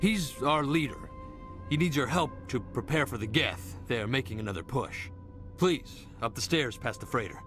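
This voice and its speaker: A man voicing an anxious colony lookout in a sci-fi game. The voice is mid-pitched and pleading, with music clearly behind it. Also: lively intonation, normal speed, a bit bright, close to an everyday voice. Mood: urgent. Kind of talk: video game dialogue acting.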